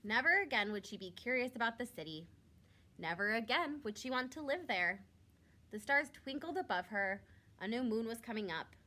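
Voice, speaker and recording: A woman reading a picture book aloud to young children, expressively, drawing out words for emphasis like a teacher. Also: a little high. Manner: expressive, with drawn-out emphasis and questions to listeners.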